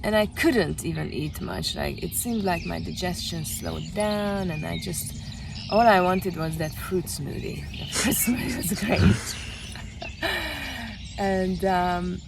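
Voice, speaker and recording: Slow, soft-spoken Hungarian-born woman outdoors. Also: fairly low pitch, background noise. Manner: slow, gentle, pausing often. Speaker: retreat guest sharing her experience. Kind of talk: reflective outdoor interview. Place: outdoors by a lake with trees. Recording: outdoor audio with clear birdsong. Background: birdsong.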